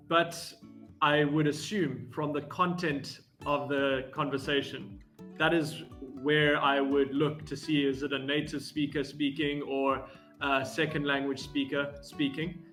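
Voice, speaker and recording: Man English tutor, flat and a bit high, measured feedback. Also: soft background music. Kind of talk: casual chat.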